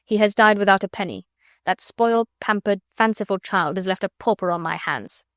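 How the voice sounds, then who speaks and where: A woman's voice: medium pitch, brisk, a bit bright, dry recording, clean studio sound, close to an everyday voice. A woman voicing a stern, cold middle-aged headmistress, clipped and scornful.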